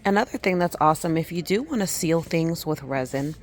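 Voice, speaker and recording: A woman hosting her skincare channel, hoarse and losing her voice, chatting casually with lots of ums and likes as she walks through a craft store. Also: low-pitched, lively intonation, fairly quick, darkish tone, slight background noise. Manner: chatty, many fillers like um and like. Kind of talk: casual shopping vlog walkthrough.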